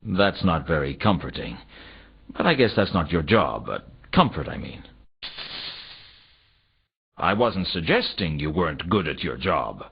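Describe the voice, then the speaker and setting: An actor voicing a world-weary hardboiled detective in a fantasy video game, with a sardonic, deadpan delivery in both dialogue and narration. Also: male voice, rumbling low, a little slow, gravelly, long pauses, heavily acted. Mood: dry, curious. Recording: dull lo-fi game audio, faint noise.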